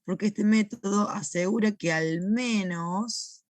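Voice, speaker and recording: A young woman lectures into a recorded online class, her voice hoarse and a little rough from a lingering cough. She explains things patiently and keeps checking that everyone is following. The sound is dry and close, with no room echo. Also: medium pitch, slow pace. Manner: patient, repeats points and checks in with "¿sí?". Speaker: university teaching assistant.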